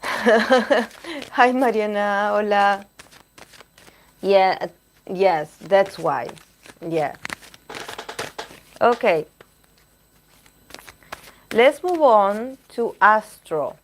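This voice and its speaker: A woman reading tarot cards on a live stream, chatting with viewers and pausing to search for words, her voice going hoarse. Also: medium pitch, expressive. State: losing her voice. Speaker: tarot reader.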